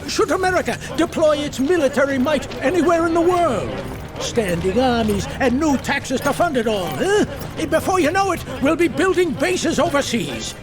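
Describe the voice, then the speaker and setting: A pointed female reporter with a very high, highly animated voice. She probes and needles theatrically, like a game cutscene interrogation, with music clearly behind her. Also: moderate pace. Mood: sardonic. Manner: probing, theatrical, sardonic. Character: pointed, sardonic female reporter pressing a soldier. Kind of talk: video game cutscene acting.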